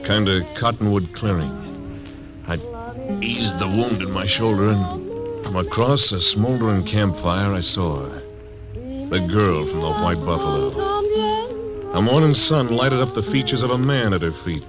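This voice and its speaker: An actor playing a hard-bitten frontier marshal, narrating tersely in a 1940s radio drama. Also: male voice, deep voice, lively intonation, unhurried. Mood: grim. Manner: terse, grim first-person narration. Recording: old radio broadcast with music.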